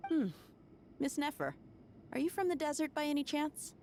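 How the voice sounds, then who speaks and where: A woman's voice: sits high, normal speed, darkish tone, a little hiss or hum, lightly acted. A woman playing a friendly, frank desert mercenary in a video game, casual and straightforward but polite. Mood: friendly, curious.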